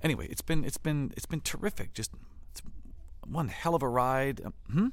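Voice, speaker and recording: A man's voice: mid-range voice, moderate pace, noisy background, natural voice. An actor playing a flustered, over-polite event organizer in a satirical audio drama, stammering and eager to please while hiding his exasperation. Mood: flustered backpedaling.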